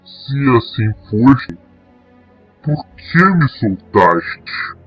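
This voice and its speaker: A man voicing a desperate, menacing dark monster who speaks in formal, archaic language. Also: mid-range voice, sing-song, muffled recording, soft background music, pitched below the performer's own voice. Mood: grave, explaining. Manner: archaic, formal, slow speech.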